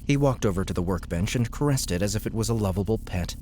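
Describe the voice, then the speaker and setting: Low-voiced male audiobook narrator. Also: animated, fast, a little mellow, faint music behind. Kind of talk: scripted fiction narration.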